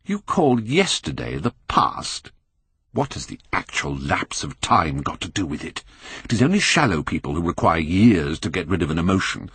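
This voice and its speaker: A man voicing a vain young British gentleman, animated. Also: low voice, husky, dry recording, exaggerated voice. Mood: defiant. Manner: careless, petulant, self-possessed. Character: vain, callous, bored young English gentleman. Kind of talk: audiobook character acting.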